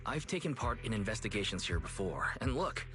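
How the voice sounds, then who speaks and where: A lowish-pitched young investigator hero, steady and reassuring. It is video game dialogue with a composed, practical temper, over clear music. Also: male voice, a little fast.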